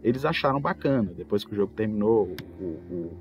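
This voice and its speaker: A man with a Brazilian accent talks casually as a podcast host, telling gaming anecdotes in an animated, storytelling way. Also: on the low side, brisk, slight background noise. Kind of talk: casual anecdotal talk about tabletop role-playing. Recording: music bed behind the voice. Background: background music.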